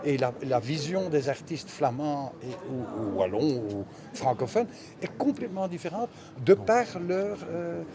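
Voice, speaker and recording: A man's voice: fairly high pitch, very animated, a little slow. A man from Belgium, a native Dutch speaker, answering casually as an interviewed cartoonist. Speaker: interviewed cartoonist and press illustrator. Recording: busy crowd chatter around the voice. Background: crowd chatter.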